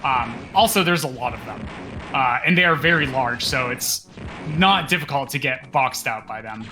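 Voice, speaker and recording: A man's voice: mid-range voice, lively intonation, normal speed, background noise. A man giving casual, explanatory live commentary on a video game speedrun.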